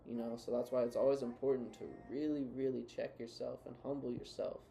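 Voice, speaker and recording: Earnest male co-host with a gravelly, warm voice; reflective, advising. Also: mid-range voice, slight background noise. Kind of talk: reflective casual talk.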